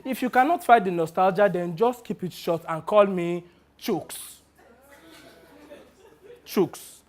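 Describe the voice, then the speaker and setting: A man playing a brash, wheedling, money-minded talent agent with a Nigerian accent in a dramatic monologue, animated and full of exclamations. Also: high-pitched, slow. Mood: explaining, showy. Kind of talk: one-person dramatic monologue.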